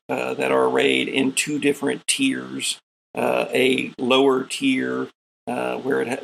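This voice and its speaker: Guy game mastering a space rpg, real gravelly n husky, takes his time describing stuff. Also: mid-range voice, rough recording. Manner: deliberate, descriptive, with pauses. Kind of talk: improvised narration of a tabletop sci-fi game.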